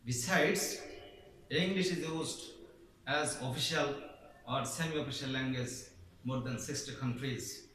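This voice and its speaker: A man's voice: mid-range voice, unhurried, fairly bright tone, slight background noise. A man from Bangladesh with a Bangladeshi accent, an English teacher giving a formal, declamatory speech with emphatic stresses, recorded from a distance in an echoey room. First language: Bengali. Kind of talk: prepared speech.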